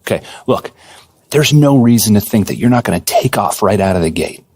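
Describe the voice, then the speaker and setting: A man playing an earnest, data-minded basketball executive in a video game, speaking in a measured corporate way. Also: fairly low pitch, expressive, darkish tone, breathy edge, no echo. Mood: serious. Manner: measured, businesslike, corporate jargon. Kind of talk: conversational video game cutscene acting.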